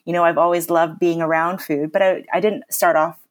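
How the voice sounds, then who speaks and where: Canadian chef lady in her 30s, low-ish voice, chatty and upbeat, fillers everywhere. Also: level tone, brisk. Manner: upbeat, chatty, many "you know" fillers. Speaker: chef and lecturer, podcast guest. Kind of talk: conversational podcast interview.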